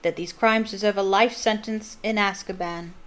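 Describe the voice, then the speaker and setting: A woman's voice: medium pitch, steady tone, moderate pace, a bit bright, natural voice. A woman voicing a stern, furious older male judge who bellows harsh, formal sentences in an audiobook. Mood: bellowing.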